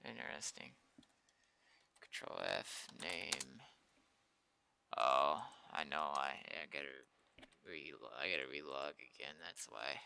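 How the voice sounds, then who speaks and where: A man's voice: very deep voice, takes it slowly, gravelly, long pauses, bright, forceful tone, a little hiss or hum. An American man chatting casually on a livestream, thinking aloud and muttering to himself as he works, with informal speech and mild swearing. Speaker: game developer livestreaming.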